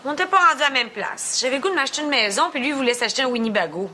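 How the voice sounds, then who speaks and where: An earnest Québécois thirtysomething. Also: female voice, high voice, animated, rapid-fire, a loud crowd. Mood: defensive. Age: 30s. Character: woman in her thirties dating older men. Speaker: sitcom character. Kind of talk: sitcom acting. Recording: TV sitcom audio with noise.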